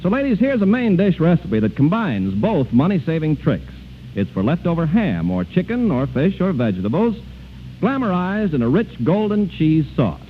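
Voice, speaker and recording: Old-time american radio ad guy, emphatic n polished, lively pitch, thin crackly 1940s sound. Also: moderate pace. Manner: emphatic salesman delivery. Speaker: radio announcer. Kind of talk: 1940s radio commercial announcing. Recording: tinny, noisy 1940s radio broadcast.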